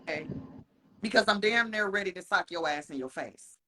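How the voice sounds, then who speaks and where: A man co-hosting a casual podcast, talking frankly and cursing freely. His voice is bright and forward, and he laughs between points. A faint hiss sits under the recording. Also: high-pitched, lively intonation. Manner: frank and profane, laughs often, slows down to stress points. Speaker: podcast co-host.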